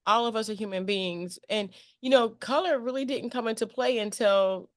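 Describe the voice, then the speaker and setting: A woman hosting a casual interview, expressive and emphatic, often repeating phrases to drive a point home. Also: fairly high pitch, normal speed, no echo, clean studio sound. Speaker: interview show host. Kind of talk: casual chat.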